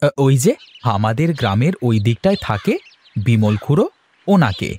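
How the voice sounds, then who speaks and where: A man's voice: medium pitch, very animated, moderate pace, dark tone, a little hiss or hum, natural voice. A man voicing an exasperated, generous young village husband in a fairy-tale cartoon, scolding and pleading. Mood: casual.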